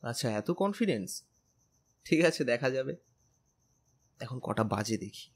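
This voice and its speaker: A man playing a tender, slightly insecure young lover in a romantic audio drama, speaking gently and intimately. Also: medium pitch, expressive, unhurried, husky. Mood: affectionate.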